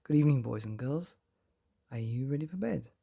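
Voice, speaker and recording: A man reads a children's story with a mellow, gravelly voice. His delivery is dramatic and lively in pitch, with long pauses between phrases. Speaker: bedtime story podcast host and reader. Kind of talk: scripted children's story narration.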